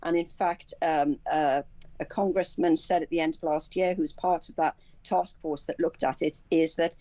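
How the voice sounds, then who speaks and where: A woman with a low, dark-toned voice speaks as a guest commentator in a news interview. She explains things in halting, self-repeating phrases, and the sound is close and dry. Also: steady tone, dry recording.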